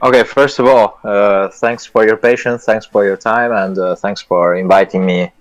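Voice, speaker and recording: A man's voice: on the low side, steady tone, normal speed. A man whose first language is Italian, a project lead speaking as a guest in a live audio chat, hesitant with many fillers and restarts. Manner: earnest, self-deprecating with light laughs.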